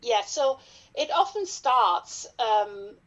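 A woman's voice: fairly high pitch, very animated, a bit bright, lo-fi sound. German-accented woman, guest dermatologist. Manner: careful, explaining step by step with pauses. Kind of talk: explanatory podcast interview.

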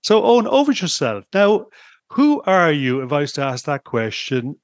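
A man's voice: on the high side, big pitch swings, normal speed, no room sound, clean studio sound. A man hosting a podcast in Ireland, talking in an upbeat, chatty way with frequent fillers. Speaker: podcast host. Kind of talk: podcast interview.

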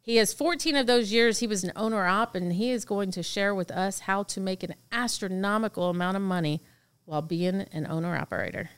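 A woman's voice: mid-range voice, brisk, clear, resonant tone. A middle-aged woman hosting a podcast in a chatty, casual way, laughing easily. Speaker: podcast host.

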